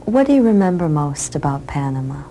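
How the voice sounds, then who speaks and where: A woman presenting a lesson, asking interview questions in a clear, measured way. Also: sits low, very animated, warm tone, slight background noise, rough recording. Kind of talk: scripted educational interview.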